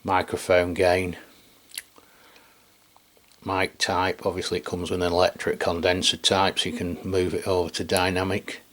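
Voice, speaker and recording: A man's voice: low-pitched, monotone, moderate pace, fairly bright tone. A man with an English accent giving a casual, rambling hands-on product demonstration in colloquial speech, often ending on tag questions. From England. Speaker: radio reviewer and video host.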